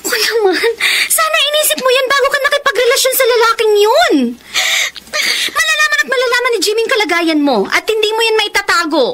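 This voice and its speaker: An actress with a Filipino accent playing a concerned, frank young best friend in a radio romance drama, exclaiming and scolding. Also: female voice, very high voice, animated, a little fast, pushed and bright, heavily acted. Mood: scolding, advising.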